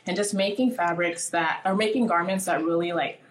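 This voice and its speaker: A woman's voice: fairly low pitch, brisk, echoey room, a little hiss or hum. A woman in her twenties, born in Nigeria and raised in the US, talking casually as an interview guest, with lots of "like" fillers. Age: 20s. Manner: chatty, many "like" fillers.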